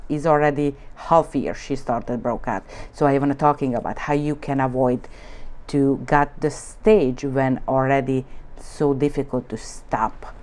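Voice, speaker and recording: A woman's voice: a bass voice, a little mellow. A woman from Hungary speaking English with a Hungarian accent, teaching nail art in a chatty, rambling way full of fillers and broken grammar. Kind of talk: casual demonstration talk. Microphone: off-camera voice over hands-only shot.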